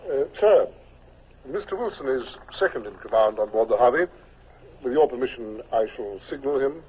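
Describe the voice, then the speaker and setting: Dutiful naval officer, tinny radio. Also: male voice, mid-range voice, lively intonation, moderate pace, slightly rough. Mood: respectful. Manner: deferential, formal. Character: dutiful, respectful male naval captain, second to the commander. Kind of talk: 1950s radio drama acting. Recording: tinny, noisy old radio recording.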